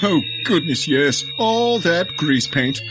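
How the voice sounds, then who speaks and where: A blustery old-time police boss, super bright and booming, pompous and jolly, comic radio play style. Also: sing-song, takes it slowly, soft background music. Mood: brisk, commanding. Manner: pompous, booming exclamations and hearty chuckles. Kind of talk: comic audio drama acting.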